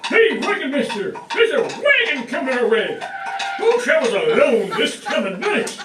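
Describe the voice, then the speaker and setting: A man playing an excitable wagon-train sidekick in a stage radio parody. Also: very high voice, moderate pace, recorded from a distance, exaggerated voice. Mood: excited. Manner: exclaiming, eager. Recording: roomy stage from afar, music and laughing crowd.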